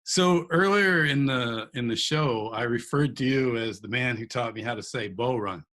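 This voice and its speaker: A man's voice: medium pitch, moderate pace, fairly bright tone. A man from Wisconsin hosting a show and interviewing a guest in a casual chat, laughing often and restarting phrases. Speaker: show host.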